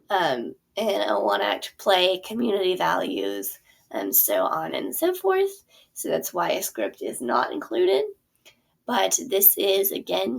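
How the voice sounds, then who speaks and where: A woman's voice: medium pitch, gravelly, slightly rough. A young adult woman, a theater teacher, casually walking through her course plan in a chatty way with many ums and self-corrections. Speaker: theater teacher and curriculum creator. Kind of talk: casual explanatory product walkthrough.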